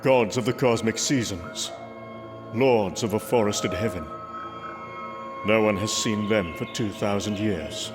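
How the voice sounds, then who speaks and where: An actor playing an earnest, grave warrior prince and god of thunder, speaking formally and solemnly in an audio comic drama. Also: male voice, on the low side, unhurried, natural voice. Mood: grim, solemn. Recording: music bed under the voice. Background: music.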